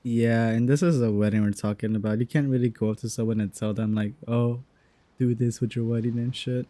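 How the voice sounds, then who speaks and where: A young adult gameplay commentator with a mellow voice, recorded dry and close. The reactions are casual, slangy and laid-back. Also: mid-range voice, steady tone, a little fast, dry recording.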